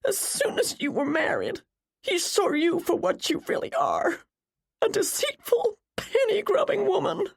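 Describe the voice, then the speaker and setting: A woman's voice: very high-pitched, big pitch swings, unhurried, vocal fry, voice pushed up, full character voice. A woman voicing a distraught, tearful woman in an audiobook, sobbing and spitting out accusations. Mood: sobbing, accusing.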